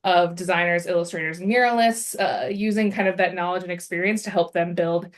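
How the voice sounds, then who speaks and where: Relaxed woman with a lowish voice. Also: brisk. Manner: relaxed, many fillers, hesitant. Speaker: illustrator and designer, co-host. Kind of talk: casual self-introduction. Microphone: video call.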